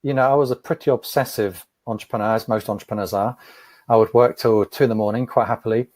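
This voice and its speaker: A man answering interview questions as an entrepreneur, thoughtful and measured, often repeating a word as he starts. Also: fairly low pitch, steady tone, darkish tone, no echo. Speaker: podcast guest, entrepreneur.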